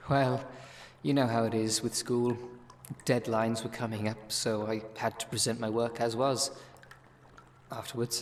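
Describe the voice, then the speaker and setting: A wry, world-weary city witch, played by a man, speaks in a medium-pitched voice with long reverb trailing behind. The delivery is conversational and sardonic, as in an immersive audio roleplay, over a noisy background. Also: lightly acted. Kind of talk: ASMR audio roleplay, one-sided dialogue.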